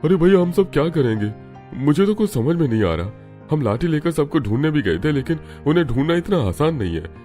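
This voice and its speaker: A man voicing a fearful, high-strung male villager in a cartoon story, plaintive and exclaiming. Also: sing-song, brisk, mellow, soft background music. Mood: worried. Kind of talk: Hindi cartoon story acting.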